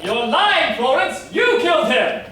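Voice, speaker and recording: A very high, bright voice rings through an echoing hall. It is a man acting an excitable police inspector, melodramatic and accusing, in a stage murder mystery. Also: measured pace, heavily acted. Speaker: actor in a staged reading. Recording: echoey hall, distant mic, faint noise.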